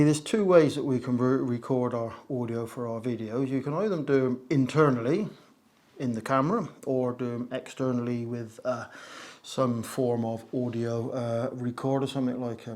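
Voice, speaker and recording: Slightly hoarse man, rambling casually. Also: medium pitch, moderate pace, a little mellow. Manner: rambling, many "uh" and "so" fillers, self-mocking jokes. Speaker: video creator explaining audio gear. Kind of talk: casual talk to camera. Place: conservatory used as a home studio, a little echoey. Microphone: wireless lapel mic.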